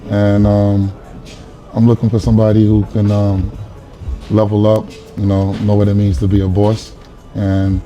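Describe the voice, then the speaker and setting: A man in his forties from Queens on a dinner date, talking casually and hesitantly with lots of pauses and fillers. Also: on the low side, dark tone. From Queens, New York. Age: 40s. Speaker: dater on a blind date. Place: restaurant dinner table.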